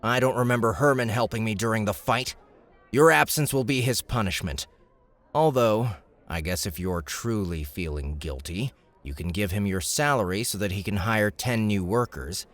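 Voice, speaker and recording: A man's voice: fairly low pitch, moderate pace, natural voice. A man voicing a shrewd, smug young noble in an audiobook, speaking in a glib, formal, commanding way. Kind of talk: audiobook character dialogue.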